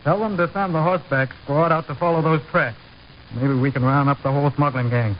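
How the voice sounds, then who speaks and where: A man playing a tough American border patrol officer, brisk and commanding, in an old-time radio drama. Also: fairly high pitch, steady tone, close to an everyday voice. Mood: stern. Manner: brisk, commanding, hard-boiled. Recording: muffled, noisy old radio recording.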